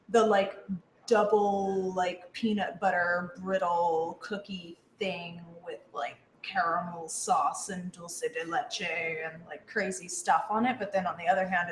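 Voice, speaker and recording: A woman's voice: fairly low pitch, pushed and bright, a little hiss or hum. A young woman chatting casually as she answers questions, playful and giggly, with lots of "like". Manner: playful, giggly, frequent 'like'. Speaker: cast member answering questions. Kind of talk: casual chat. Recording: roomy, echoey room.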